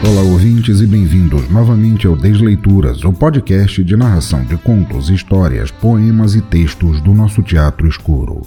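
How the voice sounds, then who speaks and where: A man with a Brazilian Portuguese accent hosting an audio drama podcast, reading out notices and thanks in a theatrical, playfully dark tone. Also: low voice, lively intonation, moderate pace, hardly any pauses, faint music behind. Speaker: podcast host.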